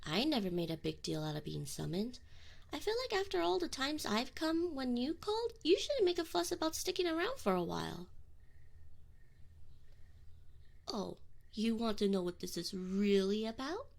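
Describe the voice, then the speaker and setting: A woman's voice: fairly high pitch, very animated, creaky, heavily acted. A woman playing a young tsundere succubus in an intimate ASMR roleplay, prickly and defensive, with affection and neediness showing through. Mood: indignant, pouty. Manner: defensive, teasing, halting when admitting feelings. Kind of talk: scripted ASMR roleplay acting, intimate direct address.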